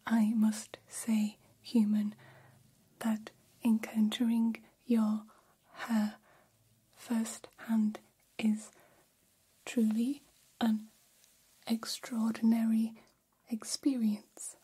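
A woman voicing a gentle, formal alien caretaker in an ASMR roleplay, whispering softly with slow, deliberate phrasing and long pauses. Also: on the high side, little pitch movement, exaggerated voice. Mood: quietly fascinated. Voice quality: soft, breathy whisper. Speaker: ASMR performer. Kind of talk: ASMR roleplay, personal attention.

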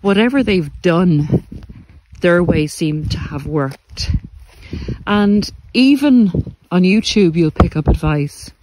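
Man, slightly high voice, earnest coaching monologue, deliberate phrasing, outdoor recording, noticeable country background noise. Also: lively intonation. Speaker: podcast host and narcissistic abuse coach. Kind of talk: reflective spoken monologue to listeners. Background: country sounds.

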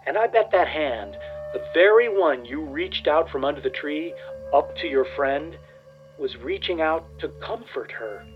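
A man playing a nostalgic, earnest small-town man who speaks in a halting, wondering way in a radio play. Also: fairly high pitch, animated, narrow, tinny audio, soft background music. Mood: fond, wondering. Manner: halting, wondering, repeats himself.

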